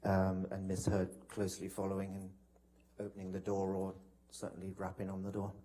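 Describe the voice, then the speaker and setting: A man giving testimony as a witness in a courtroom, hesitant and measured, with halting answers. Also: low voice, completely flat delivery, warm tone. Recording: noisy courtroom broadcast feed.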